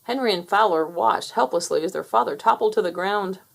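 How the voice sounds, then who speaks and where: Brief: woman storybook narrator, expressive read-aloud, gravelly texture. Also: medium pitch, brisk. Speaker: storybook reader.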